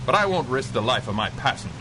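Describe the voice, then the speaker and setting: An actor playing a smooth-talking, daring pilot adventurer in a radio drama, wry, courteous and coolly ruthless. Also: male voice, medium pitch, big pitch swings, fast talker, background noise, lightly acted. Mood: smooth, reassuring. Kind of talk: 1930s-set radio adventure acting.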